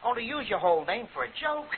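A chummy prison trusty in a 1950s radio play. He joshes familiarly in a high-pitched male voice. The recording is thin and narrow. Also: vocal fry, slight background noise, full character voice. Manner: joshing, familiar. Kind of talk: 1950s radio crime drama acting.

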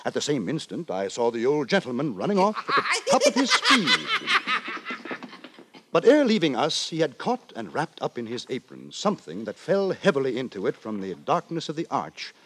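A man playing a pompous, wry gentleman narrator in a 1950s radio drama, telling his tale with ornate, mock-solemn deliberation. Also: mid-range voice, huge pitch swings, moderate pace, breathy edge, no room sound, heavily acted. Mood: wry, astonished. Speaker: narrator and lead actor. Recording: dry 1950s radio with music.

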